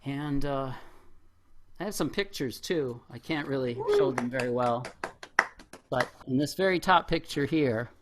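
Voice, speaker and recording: A middle-aged or older man speaking at a poetry open mic in a reflective, expressive way. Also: mid-range voice, measured pace, background noise. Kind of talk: spoken poetry reading with informal remarks to an audience.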